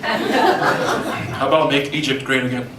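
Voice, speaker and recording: A young man from Brazil with a Brazilian Portuguese accent, telling deadpan one-liners in a stand-up set at a comedy club. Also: mid-range voice, takes it slowly, very noisy. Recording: roomy club, far mic, loud crowd.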